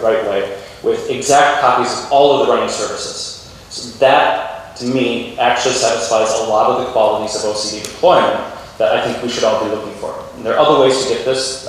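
A man giving an informal, explanatory conference keynote on technology to a lecture theatre. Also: lowish voice. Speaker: keynote speaker. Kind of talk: conference tech presentation. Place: large university lecture theatre with audience. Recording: echoey hall, distant mic, faint noise.

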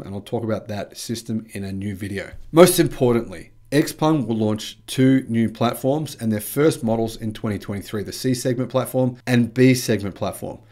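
A man's voice: mid-range voice, darkish tone. A man in his forties hosting a news channel, presenting scripted commentary with emphatic phrase openings that drop away at the ends. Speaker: host of an electric car news channel. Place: small furnished home room.

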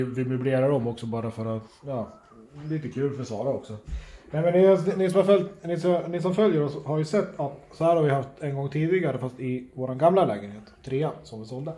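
A middle-aged man chatting casually for a family vlog, informal and sweary, often halting, recorded on a phone at arm's length indoors. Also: a little high, mellow. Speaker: family vlogger. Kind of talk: casual handheld vlog chat. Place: indoors at home, rooms and kitchen. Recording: roomy echo, faint household noise.

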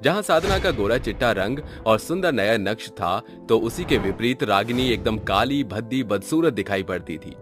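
A man's voice: fairly low pitch, expressive, moderate pace, hardly any pauses, over music. A man reading a scripted story narration.

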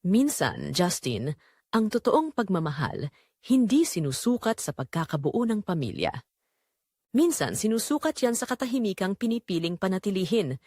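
A woman playing a calm, bitter, unfaithful mother in an audio drama, speaking in a measured, firm and unapologetic way. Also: medium pitch, dry recording, clean studio sound.

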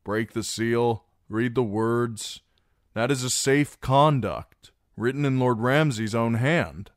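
A man's voice: fairly low pitch, a little slow, no echo, studio quality, voice pushed up, close to an everyday voice. A man voicing a broken, terrified young male captive who carries a message and pleads urgently with a hostile crowd.

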